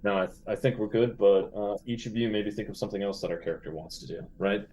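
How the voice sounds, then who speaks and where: A man's voice: lowish voice, fast, a little mellow. A middle-aged man playing a tabletop role-playing game, chatting casually and thinking through ideas in a playful way.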